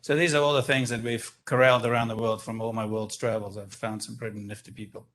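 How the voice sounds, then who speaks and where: A man's voice: fairly low pitch, little pitch movement, fast, fairly bright tone, a little hiss or hum. A man with a South African accent, speaking enthusiastically and at length at a microphone during a council meeting. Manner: enthusiastic, expansive, self-deprecating. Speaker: business owner presenting a project. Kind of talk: public comment at a council meeting. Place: council chamber. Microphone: speaking at a stand-up microphone.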